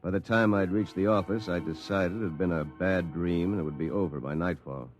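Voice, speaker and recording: A self-assured, successful attorney, played by a male actor, narrating in a fairly low voice. He is wry and confiding, in old radio drama style, with clear music underneath. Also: brisk, natural voice. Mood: wry recounting. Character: self-satisfied, successful male attorney recounting his story. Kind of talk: 1950s radio drama first-person narration. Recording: 1950s radio broadcast, music behind.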